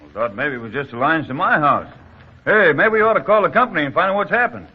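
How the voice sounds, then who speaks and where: A man's voice: fairly high pitch, expressive, fast, husky. A man playing a blustery, talkative village farmer in a 1940s radio drama, with a folksy, grumbling manner. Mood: fussing. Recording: muffled vintage radio.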